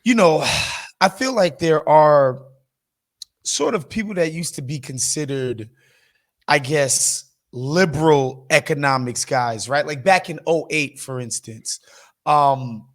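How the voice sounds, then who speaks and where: A man's voice: on the high side, slow. A man hosting a podcast in a casual, slangy, conversational way. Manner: informal, slangy, some swearing. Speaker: podcast host.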